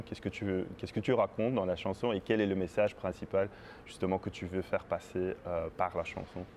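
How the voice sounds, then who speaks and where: Un intervieweur à l'belge qui pose ses questions en hésitant, avec beaucoup de « euh ». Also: male voice, deep voice, darkish tone, slight background noise. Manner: halting, with many euh fillers.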